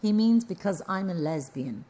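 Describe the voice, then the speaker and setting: A woman's voice: medium pitch, expressive, normal speed, warm tone, lightly acted. An actress playing a sceptical, stubborn female news reporter in an audio drama, with an Irish accent and a blunt, probing manner. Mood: defiant. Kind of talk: naturalistic audio drama acting.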